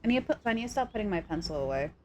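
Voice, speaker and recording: A young woman with a sore throat chatting casually and excitably on a live stream, scatterbrained and full of gasps. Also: medium pitch, racing. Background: background music.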